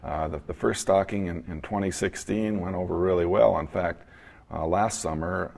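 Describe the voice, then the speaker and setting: A man in his thirties, a fisheries biologist, explaining a project in a halting way with restarts. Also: low voice, steady tone, vocal fry, darkish tone. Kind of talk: interview answers. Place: studio, seated.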